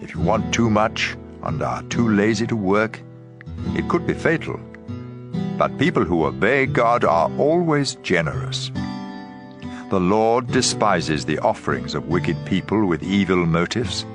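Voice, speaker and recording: A man's voice: on the low side, expressive, unhurried. A man reading scripture as dramatized audio Bible narration. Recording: music bed under voice.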